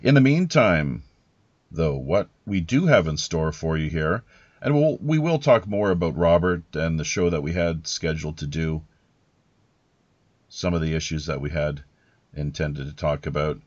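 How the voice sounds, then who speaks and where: A man hosting a podcast with deliberate, measured delivery and formal, announcer-like phrasing. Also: rumbling low, expressive, darkish tone, dry recording, lo-fi sound. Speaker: podcast host.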